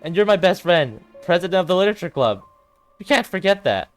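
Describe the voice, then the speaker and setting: A high-pitched, frantic teenage girl played by a man, a loyal best friend in a visual novel drama. The delivery is desperate and emphatic, with music behind it. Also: very animated, a little fast, no room sound. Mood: earnest pleading.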